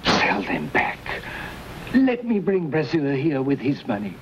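An older man, playing a gentle, pleading village priest in a 1950s Western. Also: fairly high pitch, wildly swooping pitch, a little slow, highs cut off. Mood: grave. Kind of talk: 1950s Western screen acting. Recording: noisy old Western film soundtrack.

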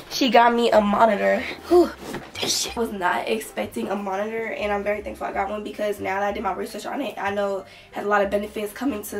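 A young woman with a stuffy, fading voice chatting casually about her presents in her bedroom. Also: a little high. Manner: chatty, rambling with many "like" fillers. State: congested, voice nearly gone. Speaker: vlogger. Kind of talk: casual haul vlog. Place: bedroom, indoors. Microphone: camera mic from a few feet away. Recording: voice a little far from the mic.

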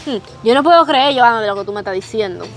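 A woman's voice: fairly high pitch, animated, fast, faint music behind. A young woman acting as a friend who sighs and gossips sympathetically. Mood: sympathetic. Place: outdoors, rural yard by a hammock.

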